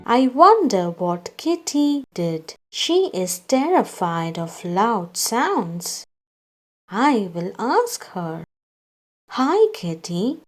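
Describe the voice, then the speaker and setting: A woman reading a children's story aloud, acting out its dialogue in a deliberate way meant for young listeners. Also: medium pitch, very animated, soft background music.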